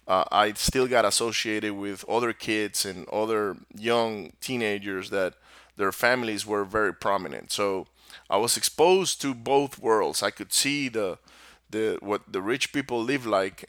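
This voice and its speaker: A man's voice: fairly low pitch, sharp tone, dry recording, clean studio sound. A middle-aged man with a Venezuelan Spanish accent, a native Spanish speaker, hosting a solo podcast monologue in a rambling way with many fillers and restarts. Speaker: podcast host.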